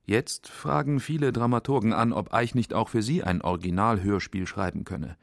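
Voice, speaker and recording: A man's voice: fairly low pitch, normal speed, vocal fry, no echo, clean studio sound. A man reading scripted narration for a radio documentary. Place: dry studio.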